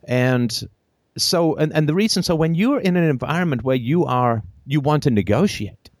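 A man hosting a call-in show, talking in a lively, emphatic way and repeating phrases for effect. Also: a little high, moderate pace, no echo, studio quality.

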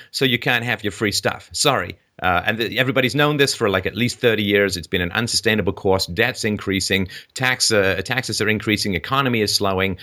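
A man's voice: medium pitch, pushed and bright. A middle-aged man from England speaking as an interview host, giving expansive, emphatic explanations in a conversational political monologue. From born in Ireland, grew up in London, England.

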